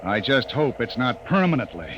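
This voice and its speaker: A man's voice: medium pitch, very animated, normal speed, over loud music, natural voice. An actor playing a short-tempered, commanding male spaceship captain in 1950s radio drama, barking terse orders. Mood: stern, firm. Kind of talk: 1950s science fiction radio drama acting. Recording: noisy, band-limited 1950s radio recording.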